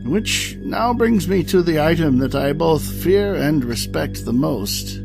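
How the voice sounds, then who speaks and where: An actor playing a weary, aging knight in a fantasy audio drama, speaking in a formal, grandiose, archaic storyteller's manner. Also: male voice, fairly high pitch, lively intonation, measured pace, vocal fry, music in the background. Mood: grave, ominous.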